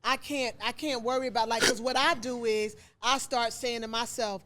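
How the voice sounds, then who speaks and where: A woman in her forties who hosts a podcast and talks in a relaxed, conversational way. She is supportive and reflective, often affirming what her guest says. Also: a little high, moderate pace, background noise. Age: 40s. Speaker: podcast host. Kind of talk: casual conversation.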